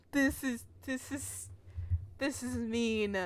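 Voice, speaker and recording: A young adult woman giving casual, giggly, sweary livestream commentary over a game, rambling and exclaiming. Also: fairly high pitch. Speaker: game streamer.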